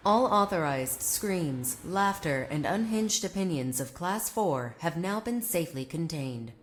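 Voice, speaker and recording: A woman playing a solemn emergency broadcast announcer, reading a formal, deadpan parody script in measured phrases. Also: on the low side, slight background noise. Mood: solemn, official.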